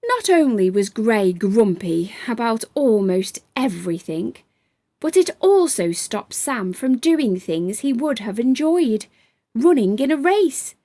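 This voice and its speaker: A woman's voice: fairly high pitch, sing-song, takes it slowly, warm tone, no room sound. A woman narrating a children's storybook expressively, as a storytime host.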